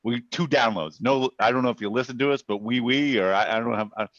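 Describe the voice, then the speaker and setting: A man co-hosting a podcast, chatting casually over beers in a relaxed way and laughing often. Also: medium pitch, quick pace, dry recording. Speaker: podcast co-host. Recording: dry, dull lo-fi sound.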